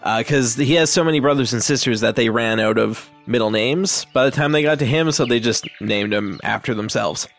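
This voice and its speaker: A man's voice: mid-range voice, brisk, few pauses, soft background music. A man playing a tabletop game, explaining his character's backstory casually, with a lot of fillers. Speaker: player.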